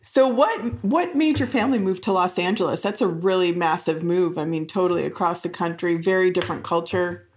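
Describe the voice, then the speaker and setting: A woman's voice: on the low side, fairly quick, few pauses, darkish tone. A woman with an American accent, speaking as a podcast host introducing and interviewing guests. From Buffalo, New York, United States.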